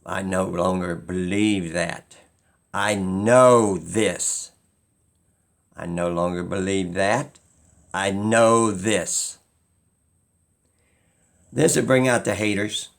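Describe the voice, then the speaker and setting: A man with a fairly low, gravelly voice full of fry speaks at a very unhurried pace. He delivers an unscripted opinion monologue in an insistent, rambling way, often repeating himself to drive a point home. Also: fairly even intonation. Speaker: video creator.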